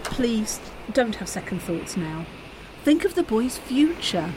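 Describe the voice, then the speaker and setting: Insistent, practical French mother, played by an actress, very varied pitch, radio drama with faint background noise. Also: sing-song. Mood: urgent, persuasive. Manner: brisk, insistent, pressing. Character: practical, persuasive mother of a grown daughter, French madame. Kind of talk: radio play acting.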